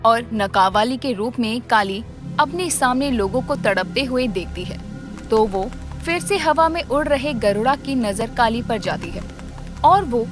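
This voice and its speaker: Woman, high voice, dramatic bedtime-story narrator, prominent backing music. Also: animated, measured pace.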